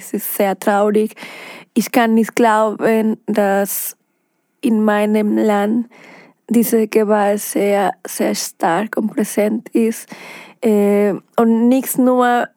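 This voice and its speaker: A woman's voice: medium pitch, little pitch movement, takes it slowly, dark tone, dry recording, clean studio sound. A woman from Mexico with a Mexican Spanish accent, speaking German as a second language as a guest on a radio interview, earnest and hesitant, with many fillers and restarts. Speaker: documentary filmmaker, studio guest. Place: radio studio.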